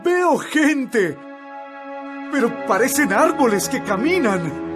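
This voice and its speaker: A man's voice: extremely high pitch, sing-song, slow, full character voice. An actor playing a wondering blind man who is beginning to see, in a dramatized audio Bible. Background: music.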